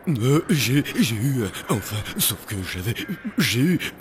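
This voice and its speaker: A man's voice: medium pitch, very animated, measured pace, slight background noise. A man voicing a bumbling, apologetic hotel head waiter in a French cartoon, with hesitant, stammering delivery. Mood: sheepish.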